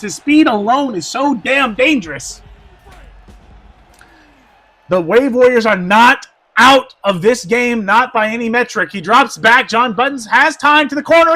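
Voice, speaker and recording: A man doing play-by-play football commentary, going hoarse, who swings from quiet asides to shouted excitement. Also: very high voice, measured pace.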